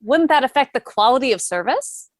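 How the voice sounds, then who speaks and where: A woman's voice: high voice, lively intonation, brisk, no room sound, studio quality. A woman playing a polite, genteel heiress who asks questions and wants more money, in a table read of a stage musical. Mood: surprised, doubtful.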